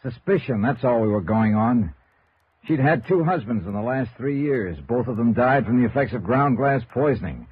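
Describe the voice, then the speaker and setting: A man's voice: medium pitch, expressive, normal speed, husky, no echo. A male actor playing a cool, hard-boiled insurance investigator in a 1950s radio noir, clipped and matter-of-fact. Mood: grave, terse. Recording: dull vintage radio recording, music behind.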